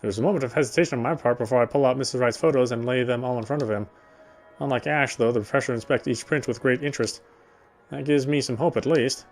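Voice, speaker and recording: A man narrating a story in the first person, reading in a steady, reflective way with small slips. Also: mid-range voice, fast, soft background music.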